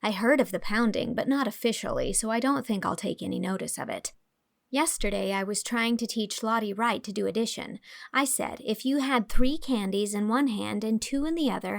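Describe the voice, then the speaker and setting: An actress playing an amused, articulate young schoolteacher narrating a letter to a friend in an audio drama. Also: female voice, on the high side, natural voice.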